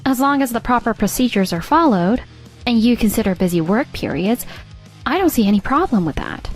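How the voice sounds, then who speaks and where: A woman's voice: a little high, animated, a little fast, faint music behind, natural voice. A woman playing a composed, firm team leader with a pointed, coolly authoritative manner in a manga dub. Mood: firm, challenging. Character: composed, firm female team leader defending her husband. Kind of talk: scripted manga dub acting.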